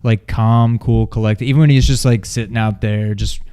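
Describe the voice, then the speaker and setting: A man's voice: on the low side, fairly even intonation, normal speed, warm tone, clear, resonant tone. A man co-hosting a movie review in loose, casual chat, often hesitating as he thinks aloud.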